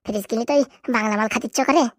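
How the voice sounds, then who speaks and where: Guy doing a bossy cartoon village pal, high voice, chattering super fast and telling people off. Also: fairly bright tone, no echo, studio quality. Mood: bickering, practical. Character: bickering, practical village buddies planning food and shopping. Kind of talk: comedy cartoon dialect acting.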